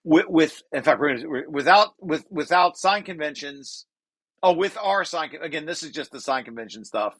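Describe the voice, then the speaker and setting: A man presenting a technical paper, reading passages aloud and breaking off into informal, halting explanations with repeated restarts. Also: fairly high pitch, expressive, fairly bright tone, no echo.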